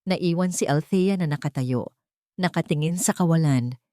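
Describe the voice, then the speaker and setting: A woman narrates a dramatic love story in a low-pitched voice. The recording is dry and close, with scripted, storyteller delivery throughout. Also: moderate pace, mellow, dry recording.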